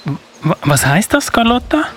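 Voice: medium pitch, very animated, unhurried. A performer playing a timid young boy, the hesitant little brother in an amateur radio play, stammering and repeating words. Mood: eager, unsure.

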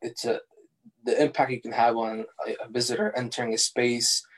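Young guy, farsi first language, pretty monotone, casual halting interview answers. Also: mid-range voice, low-quality recording. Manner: relaxed, halting, many fillers and restarts. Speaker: interview guest, architectural designer.

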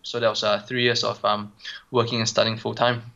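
A man answering interview questions over a phone line, halting with frequent ums and restarts. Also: on the low side, little pitch movement, vocal fry, sharp tone. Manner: hesitant, many ums and restarts. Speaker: guest physiotherapist.

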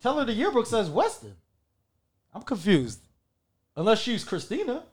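A man's voice: high voice, wildly swooping pitch, normal speed, slightly rough. A man hosting a podcast, chatting casually and laughing while setting up gear in a small closed room. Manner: laid-back, laughs often, fiddles with gear while talking. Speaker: podcast host. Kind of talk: casual chat before recording. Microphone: headset studio mic.

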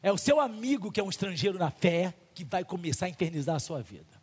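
Brazilian pastor guy preaching, pitch jumps all over, asks the crowd questions, big church reverb. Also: quick pace, slightly rough. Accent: Brazilian Portuguese. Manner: animated preaching, rhetorical questions, acted-out dialogues. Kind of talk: sermon.

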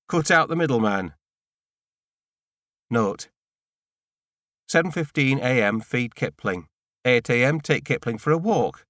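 A man's voice: medium pitch, a bit bright, dry recording. Man narrating a novel, dry studio sound. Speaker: audiobook narrator. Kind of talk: scripted first-person novel narration.

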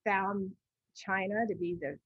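A woman's voice: medium pitch, husky, no echo, muffled recording. A middle-aged American woman recalling family life as a podcast guest, hesitant and often backtracking. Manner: hesitant, backtracking, many pauses. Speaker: podcast guest, adoptive mother. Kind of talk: personal recollection in conversation.

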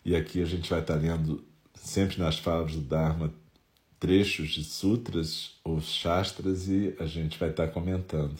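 A Brazilian man with a nasal, stuffy voice from a head cold, calmly leading a Zen meditation talk. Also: low-pitched, a little slow. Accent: Brazilian Portuguese.

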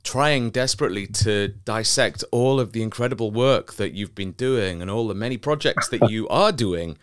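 A man's voice: on the low side, moderate pace, smooth, no echo, clean studio sound. A man hosting a podcast, introducing his guest with effusive praise and posing questions. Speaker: podcast host. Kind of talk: interview host introduction.

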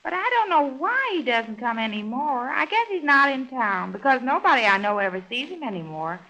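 High, bright scatterbrained young woman. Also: sing-song, fairly quick, full character voice, rough recording. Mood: guileless. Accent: American. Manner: guileless, prattling. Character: scatterbrained, chattery, naive young single woman. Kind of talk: 1930s radio comedy acting. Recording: vintage 1930s radio recording.

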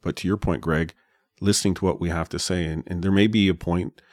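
A man's voice: low voice, steady tone, brisk, dry recording, clean studio sound. A man co-hosting a podcast, chatting casually and addressing listeners directly. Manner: friendly, wraps up the show addressing listeners. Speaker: podcast co-host.

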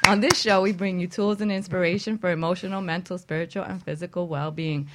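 A woman with a low, deep voice hosts a wellness talk radio show. She chats in a relaxed way, laughs easily and teases her co-host. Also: a little fast, very noisy. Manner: relaxed, giggly, teasing banter. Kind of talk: casual radio chat.

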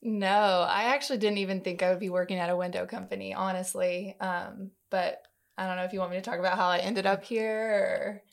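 A woman's voice: medium pitch, level tone, a little fast, creaky. A woman in her thirties chatting informally as an interview guest, telling personal stories in a casual way. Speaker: guest, marketing manager.

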